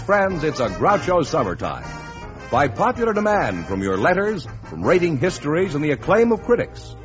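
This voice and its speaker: A man working as a radio announcer, booming and showy. His voice sits a little high and moves up and down freely. The recording is dull and old, with faint music under him.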